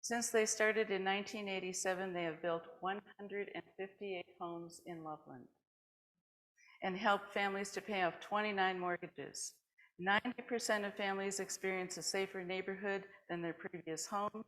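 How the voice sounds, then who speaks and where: A woman's voice: mid-range voice, fairly even intonation, normal speed, a bit bright, low-quality recording. A woman leading a worship service, reading prepared vignettes and announcements to a congregation.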